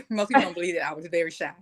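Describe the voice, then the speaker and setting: A woman's voice: on the low side, expressive, quick pace. An American woman giving casual interview answers in a chatty, light-hearted way. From Texas, United States. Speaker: first-time stage actress, interview guest.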